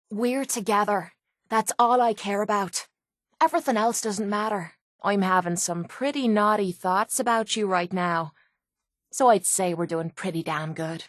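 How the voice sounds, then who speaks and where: A woman's voice: medium pitch, no echo, clean studio sound, natural voice. A woman playing a brash, foul-mouthed young female cage fighter in a video game, with an Irish accent and a blunt, cocky way of talking. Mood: warm, frank. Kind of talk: video game companion voice lines.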